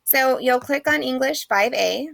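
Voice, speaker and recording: A woman teacher records a friendly, rambling lecture while sick, and her voice is fading a little. Her tone has a gravelly, fried texture, with lots of small "um"s between points. The sound is close and dry, with no room around it. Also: high voice, unhurried, a bit bright, no echo. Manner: friendly, chatty, many "um"s. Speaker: online English instructor. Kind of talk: recorded screen-share lecture.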